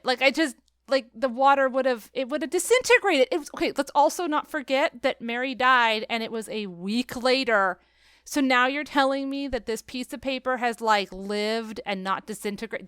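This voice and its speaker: High, clear-voiced woman ranting casually. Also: fairly bright tone, dry recording, studio quality. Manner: emphatic, exasperated ranting with acted-out quotes. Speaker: podcast co-host. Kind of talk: casual opinionated film discussion.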